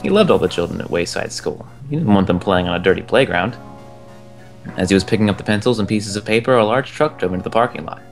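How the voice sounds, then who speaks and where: A young man with a lowish voice reads a children's storybook aloud, relaxed and chuckling at the text now and then. Soft music plays faintly behind him. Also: fast talker. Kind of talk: reading a children's book aloud.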